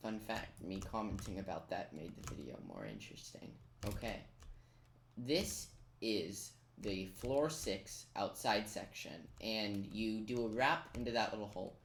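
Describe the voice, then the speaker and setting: A person giving casual, chatty video game tutorial commentary, thinking aloud in a self-deprecating way. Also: mid-range voice. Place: indoors in a roomy-sounding room at a computer. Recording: roomy echo, keyboard clicking noise. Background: keyboard typing and clicks.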